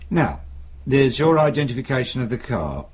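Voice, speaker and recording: An actor playing a patient, probing British police superintendent in a radio crime drama, with measured, courteous questioning. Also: male voice, medium pitch, sing-song, a little mellow, husky. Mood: patient, insistent.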